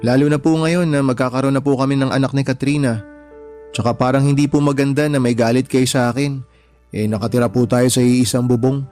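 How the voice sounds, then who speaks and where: A man's voice: mid-range voice, steady tone, a little fast, darkish tone, soft background music, lightly acted. A man acting in a radio drama as a meek young son-in-law, respectful, earnest and pleading. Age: young adult.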